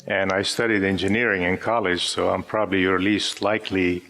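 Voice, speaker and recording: A man in his fifties from Syria, an Arabic speaker, reading his poems aloud to a live audience in a gentle, measured way. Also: sits low, level tone. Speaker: poet. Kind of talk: poetry reading with spoken introductions. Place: live gathering before an audience.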